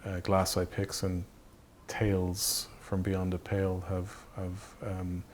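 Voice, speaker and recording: Low-voiced man, halting and thoughtful. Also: completely flat delivery, a little mellow, a little hiss or hum. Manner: halting, thoughtful, trailing off and repeating phrases. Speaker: writer and director. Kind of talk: reflective documentary interview.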